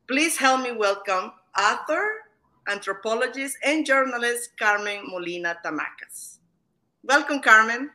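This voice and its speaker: Casting: bright, clear-toned woman host from El Salvador, encouraging interviewer. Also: fairly high pitch, animated, a little slow. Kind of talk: hosted interview.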